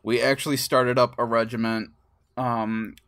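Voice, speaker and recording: A man co-hosts a casual gaming podcast with a mid-range voice. He tells stories in an animated way, chuckling as he goes and acting out bits of dialogue. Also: mid-range voice, a little slow, smooth. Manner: chuckles through stories, acts out quoted dialogue. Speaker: podcast co-host.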